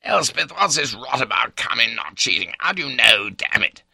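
Blustering old upper-class British gent, voiced by a male narrator: gravelly, hugely animated, wry storybook raconteur. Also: deep voice, moderate pace, dry recording, studio quality, exaggerated voice. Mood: agitated, blustering. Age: older. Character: blustering, cynical old English gentleman, irascible raconteur.

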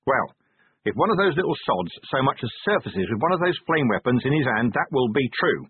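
A man voicing a weary, gruff middle-aged police commander with a sardonic edge. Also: mid-range voice, sing-song, brisk, no echo, phone-quality sound, pitched above the performer's own voice.

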